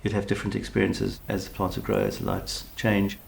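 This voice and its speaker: A man, an architect, speaking in a measured and articulate way about a design brief. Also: lowish voice, completely flat delivery, vocal fry. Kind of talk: reflective conversational chat.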